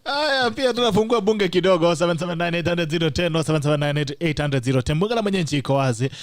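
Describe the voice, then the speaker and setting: Fast-talking Kenyan male talk show host. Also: a little high, no room sound. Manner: brisk, laughs often, reads out call-in number. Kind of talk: studio talk show hosting, mixing English and Swahili.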